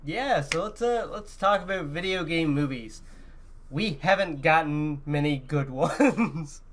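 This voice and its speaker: A man's voice: a little high, expressive, unhurried, a bit bright. A man co-hosting a podcast, chatting casually and informally about games and films. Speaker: podcast co-host. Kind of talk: casual chat about video games and movies.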